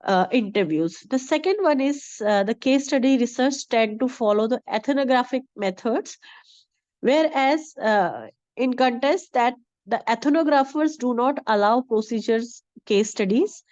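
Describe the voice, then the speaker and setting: A woman with a heavy cold giving an online lecture, hoarse and hesitant with many fillers. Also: a little high, animated, a little slow, a little mellow, dry recording, muffled recording. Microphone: video call.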